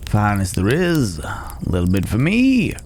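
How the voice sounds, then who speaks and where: A man playing a calm, protective cowboy stranger in an ASMR roleplay, talking in an unhurried, folksy way with hesitant pauses and gentle teasing. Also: lowish voice, a little hiss or hum. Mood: wry, teasing. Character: gruff but caring male cowboy stranger, calm and protective. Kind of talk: immersive ASMR roleplay acting. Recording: outdoor night ambience with chirping crickets.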